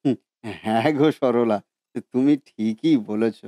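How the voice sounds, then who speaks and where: A man's voice: medium pitch, measured pace, warm tone, no echo, clean studio sound, close to an everyday voice. A man voicing a good-natured, modest food seller who talks earnestly and hesitantly in a cartoon story. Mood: grateful. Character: humble, good-natured biryani seller and husband. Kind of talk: Bengali cartoon story voice acting.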